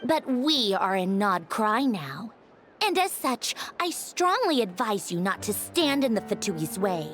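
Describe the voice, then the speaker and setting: Game villainess: refined, pompous, menacingly polite; slow, very expressive delivery over clear background music. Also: female voice, mid-range voice. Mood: veiled menace. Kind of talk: theatrical scripted game dialogue. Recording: music behind the voice.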